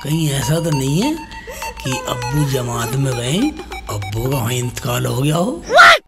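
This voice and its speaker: A man's voice: mid-range voice, huge pitch swings, measured pace, over loud music. A man playing a dim-witted, melodramatic married son in a broad comedy skit, naive and exaggerated. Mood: puzzled, mock-solemn. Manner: exaggerated loud wailing and naive questioning.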